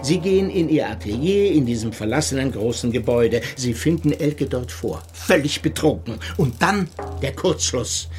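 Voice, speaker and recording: A man's voice: mid-range voice, animated, normal speed, darkish tone, natural voice. A man playing a probing, methodical police chief inspector in a crime radio drama, questioning formally and insistently. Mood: insistent, accusing. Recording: music behind the voice.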